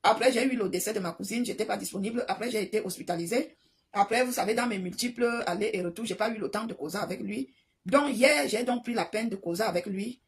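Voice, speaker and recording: A woman's voice: mid-range voice, quick pace, slight background noise. A woman from Cameroon, giving an impassioned spoken monologue full of stammering restarts. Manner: animated, repetitive, stammering restarts. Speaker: online commentator.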